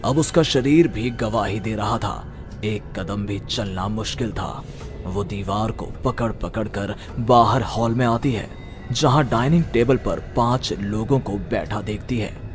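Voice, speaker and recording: A man's voice: on the low side, measured pace. A man narrating a scripted horror story. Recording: music behind the voice.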